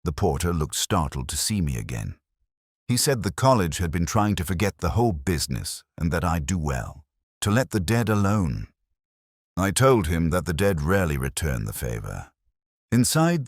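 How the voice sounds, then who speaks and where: A man narrating a scripted first-person detective story in a measured, literary, brooding manner. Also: very deep voice, no room sound, studio quality.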